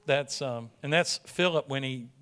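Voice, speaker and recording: A man's voice: medium pitch, a little slow, fairly bright tone, clear, resonant tone. A man preaching as a pastor in an informal, conversational sermon, speaking emphatically and often rephrasing himself. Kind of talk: informal sermon with missions slideshow.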